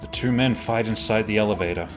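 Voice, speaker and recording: A man's voice: fairly low pitch, fast talker, over music, close to an everyday voice. A man acting as an earnest young defense attorney in a video game fan dub, hesitant and nervous. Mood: tentative.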